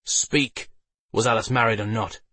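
A man's voice: lowish voice, steady tone, measured pace, sharp tone, dry recording, recorded from a distance. Man acting a proud, commanding, furious knight. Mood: stern, threatening. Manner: commanding, formal. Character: proud, furious male knight, demanding and threatening.

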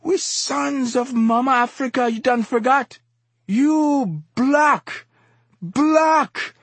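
Anguished, high-pitched, furious street exhorter. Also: male voice, very slow, fairly bright tone, no echo, heavily acted. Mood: tearful pleading. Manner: impassioned, declamatory, emphatic repetition in dialect. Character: heavyset, anguished, furious male street exhorter, passionately pleading. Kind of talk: audiobook character dialogue.

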